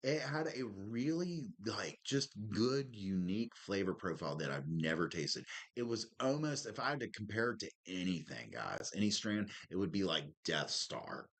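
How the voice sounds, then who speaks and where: A man with a deep smoker's voice chatting casually as a podcast co-host, slangy and laid-back. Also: big pitch swings, normal speed, creaky, hardly any pauses, fairly bright tone. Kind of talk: casual chat.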